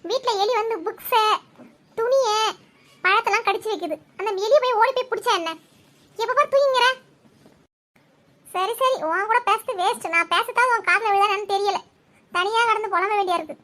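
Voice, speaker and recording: A woman's voice: very high voice, moderate pace, long pauses, sharp tone. A woman voicing a quarrelsome, tearful village housewife in a Tamil cartoon, complaining and accusing as she tells her story. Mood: scolding.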